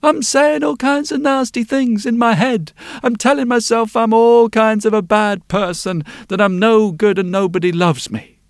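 A man's voice: high voice, steady tone, normal speed, hardly any pauses, dry recording, clean studio sound, voice pushed up. A man voicing a small girl who begs earnestly and keeps repeating her pleas. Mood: pleading.

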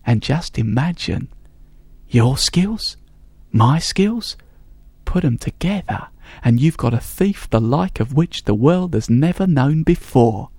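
Storyteller doing a cocky male thief, goes way up squeaky when he's excited, slow and dry. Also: husky, dry recording, heavily acted. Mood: eager, charming. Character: excitable male master thief, smitten and boastful.

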